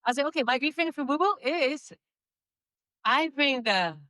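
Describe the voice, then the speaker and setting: A woman with a slight Spanish accent, Spanish her first language, delivers an emphatic motivational speech in a high, bright voice. She stretches out her key lines and laughs lightly between points. The sound is dry and close. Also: lively intonation, normal speed, no echo. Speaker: motivational speaker. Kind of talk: inspirational stage speech.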